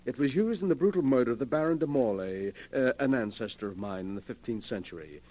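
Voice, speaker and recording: An actor playing a sinister, gloating gentleman collector in 1940s radio horror style, speaking with suave menace and relish. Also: mid-range voice, animated, fast talker, natural voice. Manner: theatrical, suavely menacing, relishing his words.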